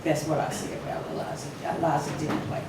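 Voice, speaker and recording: A woman's voice: low voice, fast. Woman speaking in an echoey hall. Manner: lively, emphatic storytelling with fillers. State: voice weaker than usual. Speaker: society spokesperson. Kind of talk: speaking at a ceremony. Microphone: podium microphone. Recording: echoey hall from far off, noisy.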